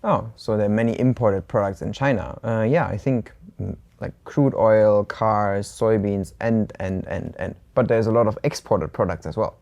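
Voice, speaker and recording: Woman, slight german accent, super low dark voice, calm translating. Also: moderate pace, hardly any pauses. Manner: thoughtful, translates back calmly. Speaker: co-host learner. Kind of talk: casual lesson dialogue.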